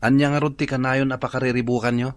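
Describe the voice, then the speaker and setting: A man's voice: medium pitch, flat delivery, fast, dry recording, lightly acted. A man playing a suspicious young son, probing and questioning, in an Ilocano radio drama with an Ilocano accent. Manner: probing, accusatory.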